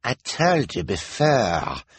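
Casting: gloating storybook detective villain, rough-edged and slow a male narrator in character. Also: medium pitch, wildly swooping pitch, dry recording, voice pushed up, heavily acted. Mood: smug menace.

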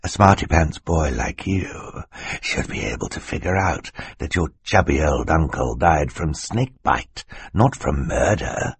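A man's voice: rumbling low, vocal fry, breathy edge, voice pushed down, heavily acted. A man voicing a menacing, smug male villain in an audiobook, with a drawling, mocking snarl. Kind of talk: audiobook character voice.

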